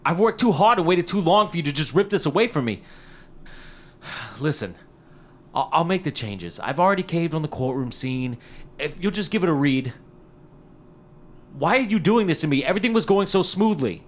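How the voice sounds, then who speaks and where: An actor playing an eager, fast-talking struggling screenwriter at a hotel desk in a period radio drama, wisecracking and pleading, stammering when flustered. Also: male voice, fairly high pitch, very animated. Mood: pleading, distressed.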